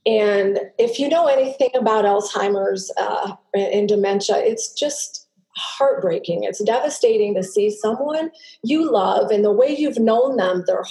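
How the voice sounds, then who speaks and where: A middle-aged woman, a native Greek speaker, telling her personal story as a podcast guest in an earnest, halting way with many restarts. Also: medium pitch, warm tone. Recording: roomy echo.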